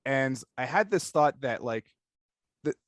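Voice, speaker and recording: A man hosts an informal discussion interview in a mid-pitched voice. He talks conversationally, rambling and halting as he works out his thoughts. The sound is close and dry, with very little room in it. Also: animated, no room sound. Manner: halting, thinking aloud, rambling. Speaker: interview host.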